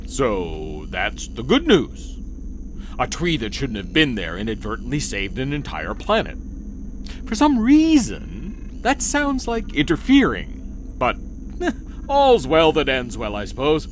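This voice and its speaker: An actor playing a vain, bored alien commander in an audio drama, deadpan, dismissive and easily exasperated. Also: male voice, mid-range voice, measured pace, slight background noise. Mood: bored, sardonic.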